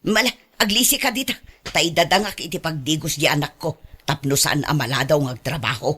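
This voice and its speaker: A woman's voice: sits low, fairly quick, a bit bright, breathy edge. A clingy, sarcastic elderly mother. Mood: sarcastic, scolding. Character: doting, possessive elderly mother, sarcastic toward her daughter-in-law. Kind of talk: radio drama acting in Ilocano.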